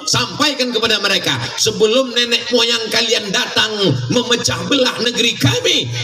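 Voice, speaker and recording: High-voiced man rousing a crowd. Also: normal speed, pushed and bright. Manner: rousing, calls out questions for crowd responses. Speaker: Islamic preacher. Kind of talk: sermon to a crowd. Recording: echoey live recording from far off with crowd and music.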